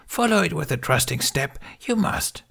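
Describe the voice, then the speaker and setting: Man putting on a tiny old alien master, high airy half-whisper, gravelly edge, backward riddling sentences, audiobook voice. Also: very animated, normal speed, full character voice. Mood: serene. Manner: inverted word order, gentle and riddling. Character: tiny ancient green alien master, serene. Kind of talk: audiobook character voice.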